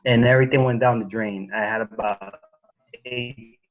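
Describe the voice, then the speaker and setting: Man, fitness coach, mid pitch, halting, lots of ums, muffled call audio. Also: fairly even intonation. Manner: halting, many ums and uhs. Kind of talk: casual sharing on a video call. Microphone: video call.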